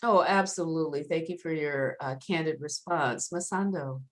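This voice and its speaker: A woman's voice: sits low, moderate pace. Enthusiastic woman hosting an interview. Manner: enthusiastic, encouraging. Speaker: host.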